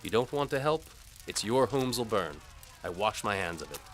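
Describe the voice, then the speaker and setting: A man's voice: lowish voice, big pitch swings, normal speed, slight background noise, voice pushed up. A man playing a blunt, exasperated, commanding medieval sheriff in an audio drama. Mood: shouting, commanding. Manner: commanding, loud. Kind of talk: audio drama character acting.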